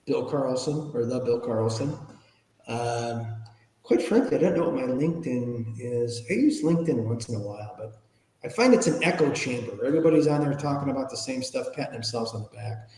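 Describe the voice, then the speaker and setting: A man's voice: mid-range voice, darkish tone. A man in his fifties or older talking casually as an interview guest, plainspoken and informal, rambling a little. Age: 50s or older. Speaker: podcast guest, ethics speaker and author. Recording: echoey, reverberant room sound.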